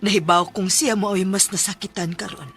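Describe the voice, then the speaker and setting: A woman with a Cebuano Filipino accent playing a caring, wise mother in a radio drama, gentle and expressive. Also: mid-range voice, moderate pace, lightly acted. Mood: gentle, reassuring. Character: caring, wise older mother counselling her widowed daughter. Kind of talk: Philippine radio drama acting. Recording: music bed under the voice.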